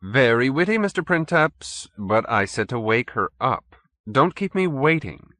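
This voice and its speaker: A man's voice: mid-range voice, very animated, a little slow, pushed and bright, no echo, pitched above the performer's own voice. A man voicing a haughty, urbane cult leader in an audiobook, pompous and sternly courteous. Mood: impatient. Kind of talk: audiobook character acting.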